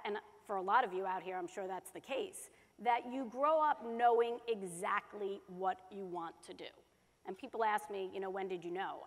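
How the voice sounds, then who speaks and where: A woman in her forties, a sports broadcaster, telling her career story quickly and animatedly in an on-stage conversation. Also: medium pitch. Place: large conference hall stage. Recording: hall reverb.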